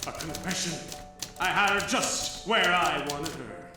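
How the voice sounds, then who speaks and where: A man's voice: on the high side, a little slow, echoey room, close to an everyday voice. A man playing a cocky, self-dramatizing private detective in a 1940s noir radio parody, with wisecracking gumshoe slang and theatrical delivery. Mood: triumphant, cocky. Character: rough, cocky hard-boiled private detective, a male, self-dramatizing sleuth. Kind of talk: parody 1940s noir radio acting, alternating narration and dialogue. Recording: live stage radio play with music.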